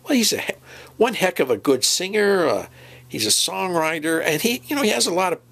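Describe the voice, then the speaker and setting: A man's voice: sits high, sing-song, a little fast, vocal fry, a bit bright. A man performing informal comic dialogue as himself, hesitant, rambling and folksy in his way of talking. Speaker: sketch performer playing himself.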